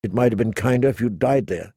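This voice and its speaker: A man reading an audiobook, voicing a cold, commanding young queen in measured tones close to his own voice. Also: mid-range voice, creaky, warm tone, breathy edge, dry recording.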